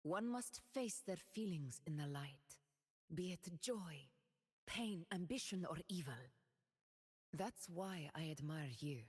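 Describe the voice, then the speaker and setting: Proud noble ruler in a game, solemn, measured, slow-spoken, echoing hall. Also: female voice, fairly low pitch, lively intonation, darkish tone, husky, heavily acted. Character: proud noble woman ruler, steadfast warrior. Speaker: game character voice lines. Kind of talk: game voice lines.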